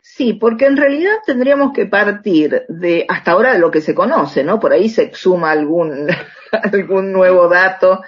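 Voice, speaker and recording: A woman's voice: fairly low pitch, animated, normal speed, slightly rough. A middle-aged Argentine woman talking conversationally as an interview guest, often checking in with little tag questions. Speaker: radio drama writer, interview guest. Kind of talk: conversational interview, telling anecdotes. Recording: slightly dull lo-fi sound.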